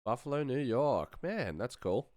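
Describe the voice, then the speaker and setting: A man's voice: mid-range voice, big pitch swings, measured pace, a little mellow, no echo, studio quality. A man hosting a casual live stream as a sound designer, rambling and thinking aloud as he works.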